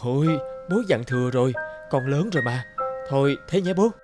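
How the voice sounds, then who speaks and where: A woman playing a busy, impatient young fashion designer in a cartoon, talking in a brisk, curt way. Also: rumbling low, lively intonation, music in the background. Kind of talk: cartoon dialogue acting.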